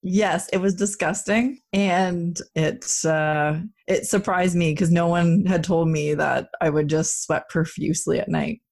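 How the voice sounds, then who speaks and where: Woman, podcast co-host, casual chat, fillers and restarts, slightly low voice. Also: steady tone, a little slow, gravelly, hardly any pauses, a little mellow. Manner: chatty, with frequent fillers and restarts.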